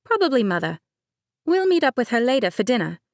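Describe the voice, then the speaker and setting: A bubbly young bride who gushes and teases in a high, bright lilt, as a woman puts her on. The voice sits well above a plain speaking tone and is recorded close and dry. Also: very animated, a little fast, dry recording. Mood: teasing. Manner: gushing, teasing, bright.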